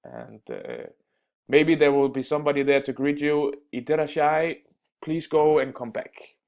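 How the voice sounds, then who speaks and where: A man teaching everyday phrases in a casual spoken lesson, pausing often with fillers and restarts. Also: on the high side, steady tone, vocal fry, a little mellow. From Denmark. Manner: halting, many fillers and restarts. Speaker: language teacher.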